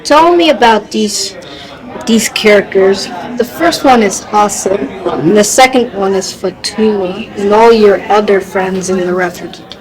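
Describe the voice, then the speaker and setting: A woman asking prepared interview questions in a careful, halting way. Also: mid-range voice, rough recording. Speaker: interviewer, student reader.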